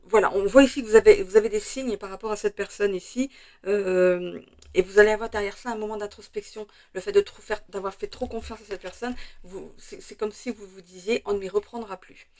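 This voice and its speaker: A French woman with a slightly hoarse voice, chatting through a tarot card reading with many hesitations and fillers. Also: mid-range voice, darkish tone. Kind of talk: casual weekly tarot reading.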